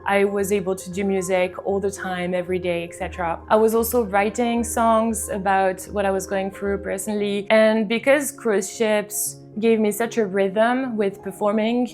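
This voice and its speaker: Chatty young woman over faint music. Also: mid-range voice. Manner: chatty, earnest, many "like" fillers. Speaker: vlogger, cruise ship musician. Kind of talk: casual talking-to-camera vlog.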